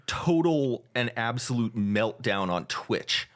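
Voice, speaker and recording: A man co-hosting a podcast in casual chat, rambling and halting with many fillers and restarts. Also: medium pitch, animated, fairly bright tone. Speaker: podcast co-host.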